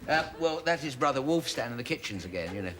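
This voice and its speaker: A man's voice: mid-range voice, expressive, quick pace, natural voice. A man playing a fussy, flustered middle-aged bachelor son who dithers and apologises with giggly asides. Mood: jovial. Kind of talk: sitcom acting. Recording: sitcom track with loud noise.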